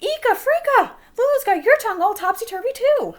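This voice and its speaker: A woman's voice: high voice, sing-song, brisk. A woman voicing a flustered, exasperated schoolteacher in a children's story, scolding in rhyming nonsense words. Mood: alarmed.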